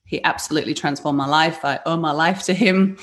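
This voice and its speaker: A woman's voice: deep voice, fairly quick. A woman in her forties from the northeast of England with a Yorkshire-tinged northern accent, chatting as an interview guest, upbeat and full of fillers and restarts. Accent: Yorkshire-tinged northern English. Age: 40s. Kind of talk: casual interview chat.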